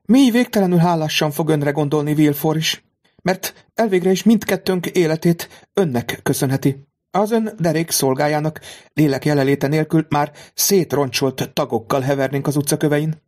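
Audiobook: male reader doing a genteel, high-strung mother, pitched well up, pleading, strained. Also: darkish tone, lightly acted. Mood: grateful. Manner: high, breathless pleading delivery. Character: distraught aristocratic young mother, high-strung lady. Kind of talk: audiobook reading of a classic novel.

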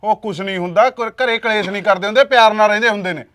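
A man's voice: sits high, very fast, fairly bright tone. A man playing a placating village husband. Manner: coaxing, then snapping and threatening. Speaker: actor in a family quarrel skit.

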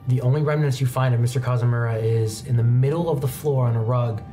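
A young man narrating a tabletop roleplaying game as game master, telling the story in a measured way with pauses. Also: mid-range voice, fairly even intonation, warm tone. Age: young adult. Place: indoor studio set, seated at a desk. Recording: background music under the voice.

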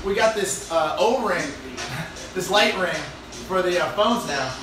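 A man's voice: high voice, animated, unhurried, background noise. A young man chatting casually as he hosts a home cooking video, laid-back and rambling, with jokey asides. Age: young adult. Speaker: cooking show host, home chef. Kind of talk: casual chat while cooking. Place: home apartment kitchen. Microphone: phone on counter, a few steps away. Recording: roomy, echoey phone recording from far off.

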